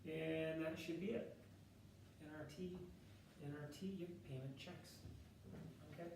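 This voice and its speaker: Loud man lecturing in an echoey classroom. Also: a little high, steady tone, measured pace, gravelly, slight background noise. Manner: casual and chatty, thinks aloud with fillers and self-corrections. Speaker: math instructor. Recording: echoey classroom, distant mic.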